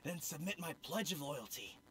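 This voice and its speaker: An actor playing a nervous, bumbling young apprentice thief who talks in folksy slang and stammers. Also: male voice, on the high side, lively intonation, normal speed, lightly acted. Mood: sheepish. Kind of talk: video game cutscene voice acting. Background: game soundtrack music.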